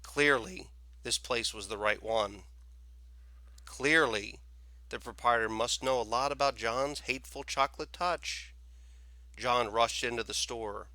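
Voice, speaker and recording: A man reading a children's story aloud as expressive scripted narration. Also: medium pitch, a little slow, no room sound. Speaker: storybook reader, teacher.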